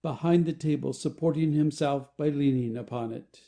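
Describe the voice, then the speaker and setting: Very mellow man narrating stage directions. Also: a little high, moderate pace. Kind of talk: scripted narration of an audio play.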